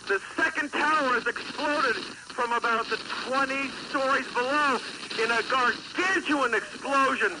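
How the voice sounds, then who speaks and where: A man cries out a live breaking news report in a very high, strained voice. Loud traffic and street noise crowd in behind him on an archival radio recording. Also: measured pace. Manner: shouting in shock, exclaiming. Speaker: on-scene reporter.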